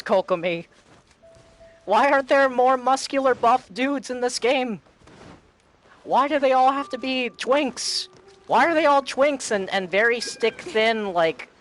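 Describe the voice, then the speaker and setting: Animated, higher-voiced man streaming a game. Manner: animated, repeats himself for comic pleading. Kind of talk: casual livestream chat while playing a game.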